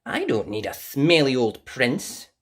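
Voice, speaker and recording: A woman's voice: rumbling low, very animated, a little slow, slightly rough, heavily acted. A woman voicing a vain young storybook heroine with a haughty, dramatic way of talking. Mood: defiant.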